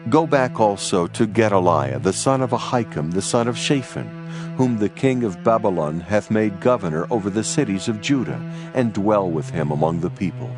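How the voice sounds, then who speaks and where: A man playing an ancient Babylonian guard captain in a dramatized scripture reading, slow, formal and solemnly authoritative. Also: lowish voice, animated, music in the background, lightly acted. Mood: measured, granting.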